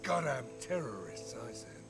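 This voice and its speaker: An actor playing a bitter, ruthless older mercenary in a video game, gruff and blunt, with a gravelly voice. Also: male voice, medium pitch, sing-song, measured pace. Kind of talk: cinematic video game dialogue. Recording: game music behind the voice.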